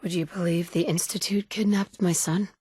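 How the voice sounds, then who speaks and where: A woman's voice: lowish voice, steady tone, normal speed, mellow, husky, dry recording, clean studio sound. An actress playing a determined, guarded woman survivor in a video game, speaking in a clipped, matter-of-fact way. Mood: grim, determined. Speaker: voice actor for a video game player character. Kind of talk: video game dialogue lines.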